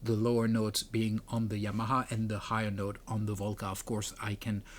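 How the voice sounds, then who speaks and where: A man's voice: lowish voice, monotone, brisk, slight background noise. A man presenting a music gear tutorial in a casual, explanatory way. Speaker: presenter demonstrating a music pedal. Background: keyboard and synth demo notes.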